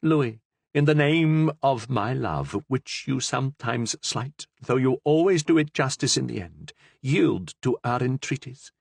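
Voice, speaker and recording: A man's voice: medium pitch, lively intonation, measured pace, vocal fry, no room sound, voice pushed up. A man voicing an older queen mother in an audiobook, pleading earnestly and formally with her son. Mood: imploring. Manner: imploring, formal speech.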